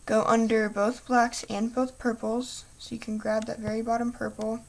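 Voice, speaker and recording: A young woman hosting a craft tutorial, soft-spoken and apologetic, talking through each step while losing her voice. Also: on the high side, level tone, moderate pace, rough recording. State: losing her voice, clearing throat.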